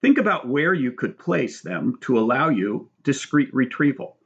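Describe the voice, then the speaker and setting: Man, mid-range pitch, author-read audiobook narration, measured, instructional, slightly lo-fi dull sound. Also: sing-song, creaky. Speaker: author reading own book. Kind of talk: scripted audiobook narration with spoken asides.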